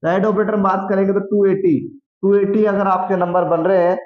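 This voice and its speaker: A man's voice: high voice, level tone, a little fast. A man teaching online students as a coaching host, repeating key phrases and answering his own questions. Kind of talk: explanatory lecture to online students. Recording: echoey room, dull muffled sound.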